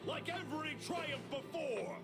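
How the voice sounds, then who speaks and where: A man voicing a bombastic military announcer rallying troops in a video game, declaiming in a booming way. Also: a little slow. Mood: triumphant. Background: loud game music.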